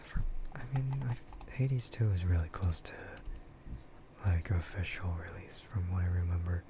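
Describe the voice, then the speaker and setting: A man softly whispering an unhurried, rambling ASMR livestream chat in a breathy voice, close to the microphone. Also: low voice, background noise. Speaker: livestreamer and content creator.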